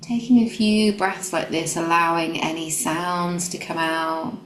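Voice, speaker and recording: A woman guiding a meditation in a gentle, calm, instructional manner. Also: on the low side, a little slow, a little hiss or hum. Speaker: meditation guide. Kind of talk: guided meditation. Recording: roomy echo, music behind. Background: background music.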